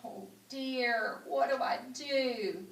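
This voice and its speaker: Woman as a gentle, worried young pig, echoey. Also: on the high side, very slow, pitched above the performer's own voice. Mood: worried, caring. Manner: earnest, worried. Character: young male pig, gentle, kind, anxious friend. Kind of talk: children's storybook reading. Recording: roomy echo, distant microphone.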